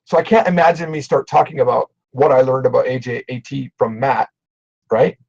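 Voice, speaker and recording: A middle-aged man and language teacher chatting casually on a video call, animated and self-deprecating. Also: mid-range voice, fairly quick, creaky, lo-fi sound. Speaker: Japanese teacher and show host. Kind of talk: casual livestream conversation.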